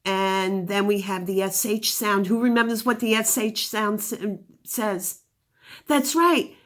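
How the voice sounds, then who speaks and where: A woman's voice: medium pitch, steady tone, measured pace, fairly bright tone. A woman teacher giving a phonics lesson to young children, encouraging them with praise and questions and sounding out words with exaggerated articulation.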